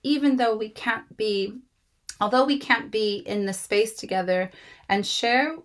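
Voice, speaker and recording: A woman in her thirties with a slightly raspy voice from a cold, warmly welcoming guests to an online meeting with many fillers. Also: on the high side, measured pace. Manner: gracious, rambling with fillers. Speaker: host. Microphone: video call.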